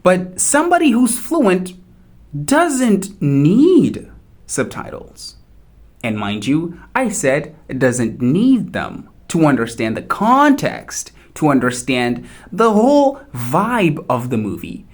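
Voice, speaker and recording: This native Portuguese speaker gives an English lesson at an unhurried, careful pace. The pitch rises and falls expressively. Each point is spoken straight to the listener. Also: wildly swooping pitch. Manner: slow, deliberate, addresses viewer directly. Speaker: English teacher. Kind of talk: instructional talk to learners.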